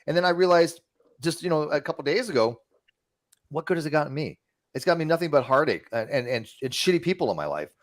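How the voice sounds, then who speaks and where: Fast, lively-voiced man hosting a stream. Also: a little high. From California. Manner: rambling, blunt, swears freely. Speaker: streamer host. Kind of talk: casual livestream chat.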